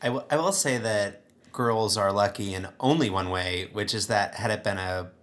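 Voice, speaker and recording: A woman's voice: a bass voice, moderate pace. A woman co-hosting a comedy podcast with casual, joking, exaggerated banter. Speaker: podcast co-host.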